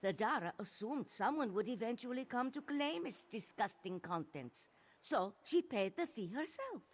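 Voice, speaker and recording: A courteous, catty feline merchant in a fantasy game. Her voice is fairly high and husky, with measured phrasing that rises and falls a lot. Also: lively intonation. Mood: businesslike. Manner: measured, courteous, refers to herself in third person. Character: polite but catty female cat-folk merchant.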